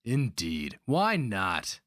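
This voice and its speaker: A man's voice: lowish voice, huge pitch swings, extremely slow, a bit bright, no echo, studio quality, exaggerated voice. A man voicing a canny, mocking older slaver and mentor in a dramatic fantasy reading, needling and emphatic.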